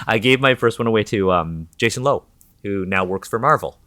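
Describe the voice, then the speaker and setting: A man's voice: on the low side, expressive, normal speed, bright, forceful tone, no room sound. A man with a Canadian accent hosting a podcast, chatting casually in a candid, informal and reflective way. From Toronto, Canada. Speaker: podcast host.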